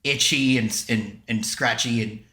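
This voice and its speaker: A man's voice: mid-range voice, fairly even intonation, a little slow, sharp tone. An American man co-hosting a podcast in casual, joking, rambling chat. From southern United States. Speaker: podcast co-host. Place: indoors on a video call. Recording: roomy, echoey sound.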